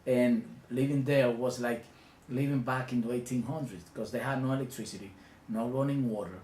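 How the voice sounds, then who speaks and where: A man in his fifties from the Dominican Republic with a Dominican accent, recounting his childhood in a reflective, hesitant way with frequent pauses. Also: medium pitch, steady tone, a little mellow. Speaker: interviewee. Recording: echoey room with faint ambient noise.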